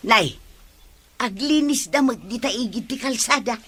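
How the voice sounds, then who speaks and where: A woman's voice: on the high side, moderate pace, fairly bright tone, husky. A woman acting a skeptical village housewife in a comedic radio drama, speaking with an Ilocano accent. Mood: curious gossiping.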